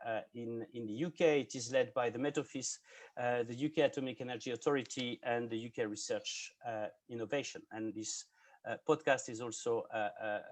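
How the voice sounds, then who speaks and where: A man in his forties hosting an interview podcast over a video call, introducing a guest with many hesitant fillers. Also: medium pitch. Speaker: podcast host.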